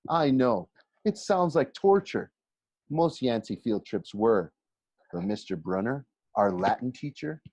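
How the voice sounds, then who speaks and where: A man reads a first-person story aloud in an expressive, conversational way, with dramatic emphasis and pauses. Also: fairly low pitch, breathy edge. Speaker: teacher reading a novel aloud for a class. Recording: roomy, slightly dull home recording.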